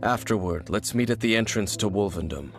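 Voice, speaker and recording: An actor playing an aloof, brooding warrior in a video game, speaking in a grave, measured, guarded way over music. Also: male voice, on the low side, natural voice. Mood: businesslike. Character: brooding, aloof male warrior with ancient grudges. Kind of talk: video game dramatic acting.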